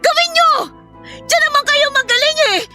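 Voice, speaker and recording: An actress with a Filipino accent playing a protective, defiant elder daughter in a radio drama, emotional and pleading. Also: female voice, extremely high pitch, normal speed, bright, forceful tone, soft background music, full character voice. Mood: defiant, upset.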